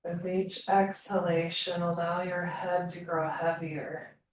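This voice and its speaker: A woman leading a slow guided meditation in a calm, soothing manner, leaving long pauses between phrases. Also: lowish voice. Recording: roomy echo, distant mic, faint noise.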